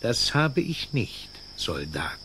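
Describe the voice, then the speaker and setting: An actor playing a frank, fearless friar in a German radio play, dignified and outspoken. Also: male voice, medium pitch, big pitch swings, slow pace, sharp tone, a little hiss or hum, exaggerated voice. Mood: calmly defiant. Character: frank, fearless Franciscan friar, unbowed before soldiers. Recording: faint night crickets behind. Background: faint night insect ambience.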